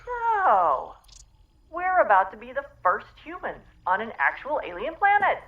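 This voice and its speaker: A woman playing an eager, excitable space traveller in a sci-fi comedy audio drama. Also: fairly high pitch, a little slow, phone-quality sound. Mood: excited, insistent. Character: eager, excitable female space traveller thrilled by alien planets.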